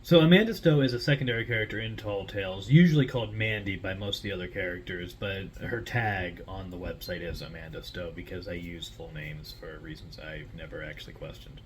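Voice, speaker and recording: A man's voice: sits low, brisk, hardly any pauses, breathy edge. A man speaking casually and informally, explaining things in a conversational monologue as a writer and host. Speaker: writer and host introducing a story character.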